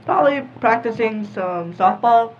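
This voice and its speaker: A woman's voice: fairly high pitch, expressive, a little slow, slight background noise. A teenage girl chatting casually as a guest on a talk show. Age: teens. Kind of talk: casual chat. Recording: dull lo-fi audio.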